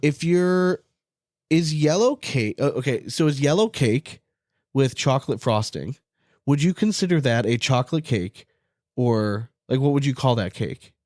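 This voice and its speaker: A North American man co-hosting a casual podcast, telling things in an animated, swear-happy way peppered with "like". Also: medium pitch, measured pace, no room sound, studio quality. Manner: profane, animated storytelling with frequent "like". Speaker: podcast co-host.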